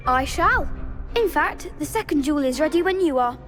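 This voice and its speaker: A high, youthful schoolgirl from a fantasy game. She explains things briskly and with confidence, like an organiser of a student club. Music plays clearly behind her. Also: expressive, a bit bright. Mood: eager, confident. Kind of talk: scripted video game acting.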